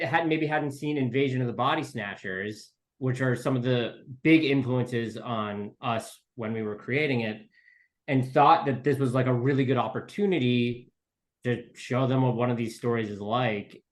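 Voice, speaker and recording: A man's voice: mid-range voice, fairly even intonation, unhurried. A man speaking casually as a podcast guest, telling how a creative project began, with plenty of conversational filler words. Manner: conversational, frequent 'like' and 'you know'. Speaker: podcast guest, screenwriter and co-creator of an audio drama. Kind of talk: casual interview answers.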